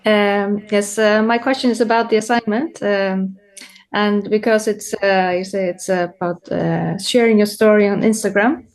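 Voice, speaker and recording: Brief: woman caller, hesitant with many pauses. Mid pitch. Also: steady tone. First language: Icelandic. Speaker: caller with a question. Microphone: video call.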